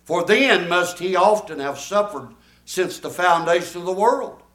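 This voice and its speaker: Older preacher in an echoey church. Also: male voice, high voice, animated, unhurried, a bit bright. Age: 70s. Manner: folksy, emphatic preaching with audience call-and-response. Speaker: pastor. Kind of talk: sermon.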